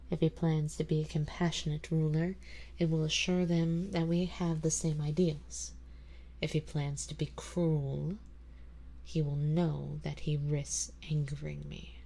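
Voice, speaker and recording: A woman playing an ancient, wealthy female vampire in an ASMR roleplay, speaking softly and intimately in formal, measured words, composed and quietly possessive. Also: low-pitched, heavily acted. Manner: slow, soft, intimate, breathy delivery drifting into whispers. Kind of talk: ASMR roleplay audio drama, addressing the listener.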